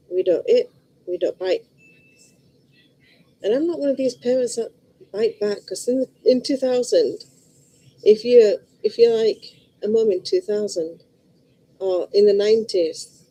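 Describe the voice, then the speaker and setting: A woman's voice: mid-range voice, dark tone, breathy edge, low-quality recording. A middle-aged woman with a Liverpool accent, chatting casually and bluntly to her viewers in a rambling way. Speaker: vlogger. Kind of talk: casual chat to camera.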